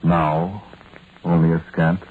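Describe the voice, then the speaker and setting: A low-voiced, haunted soldier narrates in first person for a vintage radio play. He is solemn and deliberate, with pauses inside his sentences. The recording is narrow and noisy. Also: little pitch movement. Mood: hushed, wondering. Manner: measured, deliberate, pausing mid-sentence. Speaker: narrator and lead character.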